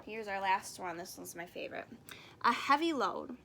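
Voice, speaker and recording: A woman reading a storybook aloud in an expressive narrating style with careful phrasing. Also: medium pitch, normal speed, gravelly, heavy background noise. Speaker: storybook reader.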